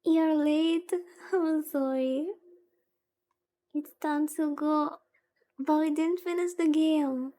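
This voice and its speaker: High-voiced woman streamer, slow and playfully dramatic. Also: steady tone, mellow. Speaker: VTuber streamer, host. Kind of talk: casual livestream chat while playing a visual novel. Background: background music.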